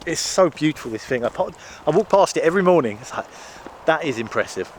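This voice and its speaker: A British man talks to camera in an easy, chatty vlog, his voice hoarse and worn thin. He sounds enthusiastic as he walks outdoors, with faint outdoor noise behind him. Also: a little high, expressive, fast. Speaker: vlogger and TV presenter. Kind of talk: casual walk-and-talk vlog. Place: outdoors in a large garden. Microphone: handheld camera.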